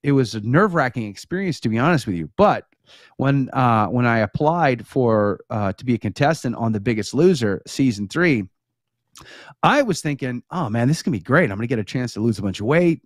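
A man's voice: mid-range voice, lively intonation, brisk, dry recording, clean studio sound. A middle-aged man with an American accent chatting casually as a live talk show host, conversational and rambling into tangents. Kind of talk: casual chat with viewers, personal storytelling. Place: small home studio with bookshelves, indoors. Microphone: close studio microphone on boom arm.

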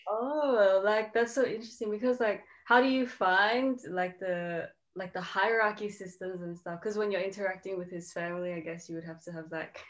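A woman's voice: mid-range voice, moderate pace, few pauses. A woman hosting a casual interview over a video call, asking questions with many filler words. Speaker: podcast host.

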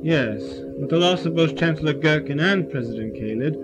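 A man's voice: fairly high pitch, brisk, dull audio, over music, natural voice. A man playing a stern, by-the-book alien cardinal in an audio drama, formal and condescending. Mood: dismissive. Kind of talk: sci-fi audio drama acting.

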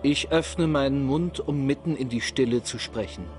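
Guy doing a mighty ancient egyptian god, slow solemn chanting speech, documentary drama, music behind. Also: medium pitch, lightly acted. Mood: solemn, majestic. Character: ancient Egyptian creator god, solemn, all-powerful, proclaiming. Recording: music under the voice.

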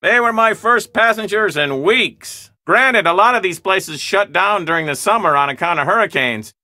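A chatty, folksy seaplane pilot from an adventure game, with a high-pitched and very sharp, forceful voice. He talks informally and leans on his points, recorded dry. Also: no room sound, full character voice. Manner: talkative, informal, emphatic. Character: chatty, folksy island float plane pilot. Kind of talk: scripted adventure game dialogue.

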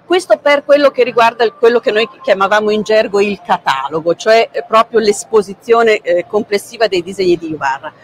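A middle-aged woman, a curator presenting a book at a public talk, speaking in a measured way with frequent hesitant pauses, recorded by a camera at some distance in a large book fair hall. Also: mid-range voice, a little hiss or hum. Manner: measured, frequent "eh" pauses, gestures while talking.

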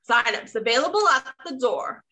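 A woman giving a scripted comic announcement in a bright, expressive way. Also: a little high, moderate pace.

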